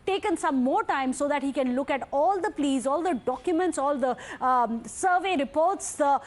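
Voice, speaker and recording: Female TV news anchor, Indian English, high voice, emphatic live breaking-news delivery, hammers key points. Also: lively intonation, moderate pace.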